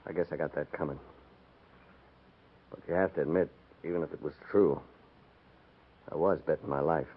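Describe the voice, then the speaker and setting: An actor playing a proud, defiant cavalry deserter, husky and earnestly pleading, in 1950s radio western style. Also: male voice, a bass voice, fairly even intonation, normal speed, phone-quality sound, heavily acted. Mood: intent. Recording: band-limited 1950s radio recording.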